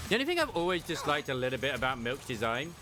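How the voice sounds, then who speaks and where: A man's voice: mid-range voice, very animated, fast, over music. A young man reading a game story aloud and chatting enthusiastically about it.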